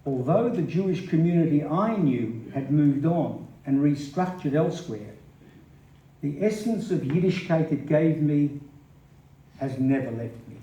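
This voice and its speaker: A man's voice: on the high side, expressive, a little slow, mellow. A man giving a reflective dramatic reading of an older person's memoir at a live indoor event. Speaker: stage reader. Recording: echoey hall, distant mic, faint hiss.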